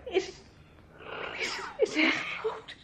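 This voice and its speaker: A woman's voice: slow, lightly acted. A woman playing an anxious, distraught young companion in a radio drama, stammering and breathless. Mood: horrified, distraught. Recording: clearly noisy old radio recording.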